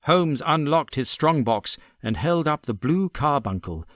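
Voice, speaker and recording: British guy narrating an old story audiobook, animated intonation, dry studio-type sound. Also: a little high, a little slow, dry recording. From Britain. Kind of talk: expressive literary story narration.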